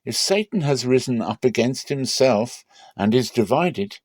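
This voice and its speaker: A man playing a stern, impassioned preacher in a dramatic Bible reading, speaking emphatically and deliberately. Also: mid-range voice, lively intonation, darkish tone, dry recording. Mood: challenging. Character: commanding male preacher Jesus, stern and impassioned.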